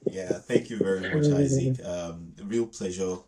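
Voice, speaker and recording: A man hosting a podcast interview, speaking in a measured, formal way. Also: deep voice, expressive, a loud crowd. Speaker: podcast host.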